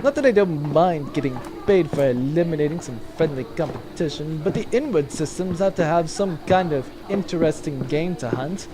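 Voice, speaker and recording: A man's voice: fairly high pitch, animated, moderate pace, hardly any pauses, close to an everyday voice. An actor playing an arrogant, sardonic male space pirate in a comedic sci-fi audio drama, pompous and mocking. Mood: dry, mocking.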